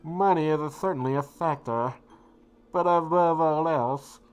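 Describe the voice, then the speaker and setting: Woman acting a low-voiced, earnest student mage. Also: measured pace, darkish tone, clean tone, low-quality recording. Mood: earnest, composed. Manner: formal, precise, earnest. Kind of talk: scripted game dialogue. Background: music.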